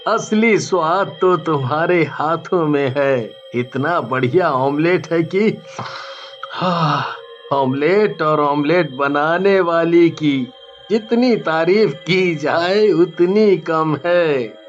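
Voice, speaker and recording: A man voicing a gentle, out-of-work husband who speaks softly and cautiously. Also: fairly high pitch, slow pace, muffled recording, faint music behind. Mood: affectionate.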